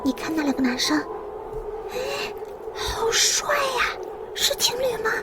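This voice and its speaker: A woman's voice: extremely high pitch, very animated, unhurried, far from the mic, full character voice. A woman playing an admiring, gushing young female passer-by in an animation dub. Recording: background music under the voice.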